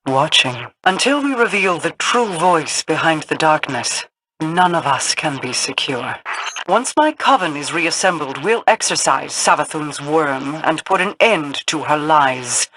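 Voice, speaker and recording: An actress playing a regal, solemn queen in a video game, speaking in formal, grave, measured phrases. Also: deep voice, lively intonation, a bit bright, a little hiss or hum, rough recording. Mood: commanding, grave. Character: regal, solemn otherworldly queen, commanding and cryptic.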